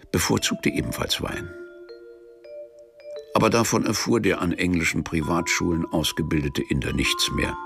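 A man narrating a literary radio crime drama in a measured, deadpan manner, as a cool, sardonic storyteller. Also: rumbling low, vocal fry. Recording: music under the voice.

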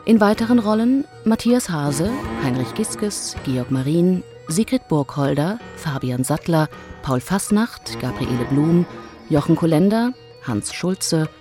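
A woman announcer reads radio drama credits from a script. Her voice is low and she goes very slowly, phrase by phrase. Music plays clearly behind her. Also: lively intonation.

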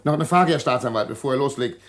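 An actor playing a blunt prison guard in a radio crime play, speaking in a plain, colloquial way. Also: male voice, medium pitch, fast, lightly acted. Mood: blunt, gruff. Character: gruff male prison guard, plain-spoken. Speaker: prison officer. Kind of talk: vintage radio play acting.

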